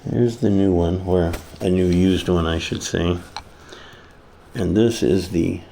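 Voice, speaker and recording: A man's voice: sits low, fairly even intonation, slow pace, creaky, dark tone. A man casually demonstrating a hands-on repair, muttering to himself as he works. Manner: muttering to himself while working. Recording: faint background noise.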